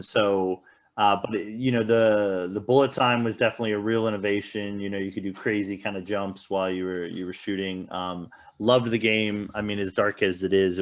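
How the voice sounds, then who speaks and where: A man co-hosting a podcast, chatting casually about films and games. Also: on the low side. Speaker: podcast co-host. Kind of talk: casual movie and game review chat.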